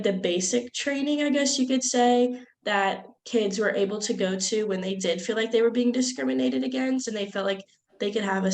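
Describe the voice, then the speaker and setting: Young woman with a gravelly edge. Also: medium pitch. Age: young adult. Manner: casual, uses "like" and "I guess you could say". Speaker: student. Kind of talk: classroom discussion.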